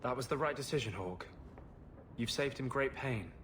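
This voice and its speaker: A man's voice: medium pitch, expressive, moderate pace, background noise, close to an everyday voice. An actor playing a brooding, sardonic male companion in a video game. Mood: grave. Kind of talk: video game cutscene acting.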